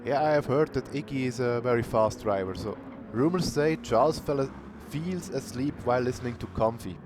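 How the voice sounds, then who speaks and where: Man calling a sim race qualifier, steady and plain, over background noise. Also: mid-range voice. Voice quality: calls himself monotonous. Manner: unhurried, plain-spoken, bursts of cheering. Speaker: race commentator. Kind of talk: live sim racing commentary. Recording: noisy stream audio.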